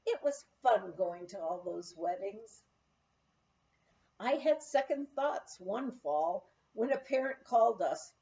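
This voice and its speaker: A woman reading a memoir aloud as an expressive storyteller, in the manner of an audiobook narrator. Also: medium pitch, slow, lo-fi sound. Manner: storytelling, acts out quoted dialogue. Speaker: audiobook reader.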